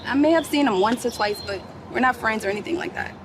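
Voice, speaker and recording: A woman's voice: a little high, quick pace, lightly acted. An actress playing a guarded, protective young woman in a screen drama, answering evasively. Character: guarded young woman shielding her friend. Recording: loud ambient background noise.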